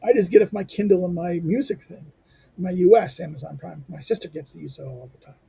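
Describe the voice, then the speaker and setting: A hoarse man hosting a lecture channel, rambling through his outro with lots of fillers, restarts and asides. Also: fast, warm tone. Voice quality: squeaky. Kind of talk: informal spoken outro to a reading lecture.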